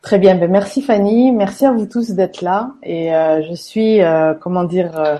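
A woman guest therapist with traces of a Quebec French accent, answering eagerly and a little haltingly. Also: on the low side, warm tone. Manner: eager and enthusiastic, a bit halting. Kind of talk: interview answers.

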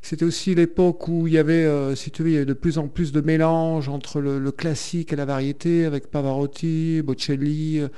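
A man's voice: fairly high pitch, little pitch movement, a little fast, hardly any pauses, mellow, smooth. A man, a singer, chatting as a radio guest, hesitant with many fillers and restarts. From France. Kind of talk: radio interview chat.

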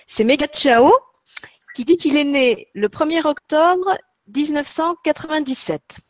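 Woman running her stream, fairly high voice, dry close sound. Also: measured pace, no echo. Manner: friendly, organizing, addresses viewers. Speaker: channel host. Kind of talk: livestream moderating.

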